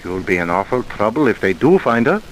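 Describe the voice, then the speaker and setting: A man's voice: on the low side, big pitch swings, a little fast, poor audio. A man playing an evasive, colloquial truck driver turned informer in an old radio drama. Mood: coaxing, hushed. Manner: colloquial, evasive, working-class plainness. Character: wary, wheedling male dirt-truck driver turned informer. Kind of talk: vintage radio crime drama acting. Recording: hissy old radio recording.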